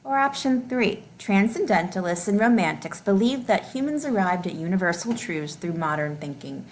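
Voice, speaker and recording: A woman reading test questions and answer options aloud as a teacher, deliberately and with each option set clearly apart. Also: medium pitch, lively intonation.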